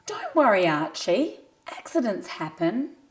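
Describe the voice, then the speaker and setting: A woman's voice: medium pitch, huge pitch swings, a little slow, roomy sound. A woman voicing a caring mother in a children's storybook, speaking to her small boy in a soothing, forgiving way. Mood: reassuring.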